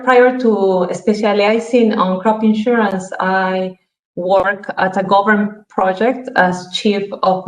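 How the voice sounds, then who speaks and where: A middle-aged woman introducing herself on a video call, listing her work history with frequent hesitations. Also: mid-range voice. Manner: lists credentials with frequent hesitations. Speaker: panelist, crop insurance manager. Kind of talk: self-introduction on an online panel. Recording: echoey room.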